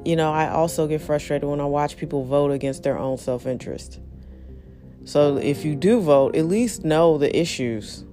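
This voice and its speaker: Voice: medium pitch, a little mellow. A speaker who is losing their voice delivers a blunt, frustrated, casual monologue straight to the listener. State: hoarse, losing voice.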